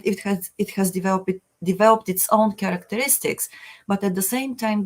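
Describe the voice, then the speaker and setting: A woman's voice: mid-range voice, darkish tone. Woman panelist, mid-range voice, agreeable and earnest, with a filler-heavy delivery. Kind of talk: discussion panel.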